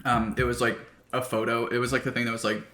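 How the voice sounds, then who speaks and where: Relaxed young male co-host, mid-pitched voice, loose swearing banter in a kitchen. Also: quick pace, slight background noise. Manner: laid-back, swears a lot, says "dude" and "like". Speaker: podcast co-host. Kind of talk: casual chat over lunch. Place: home kitchen, indoors.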